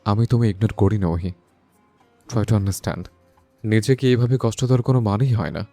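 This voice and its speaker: A man's voice: fairly low pitch, fairly quick, dark tone, dry recording, faint music behind, lightly acted. A man playing a brooding, lovestruck young son in an audio love story, earnest and insistent, mixing in English words. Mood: pleading, soothing.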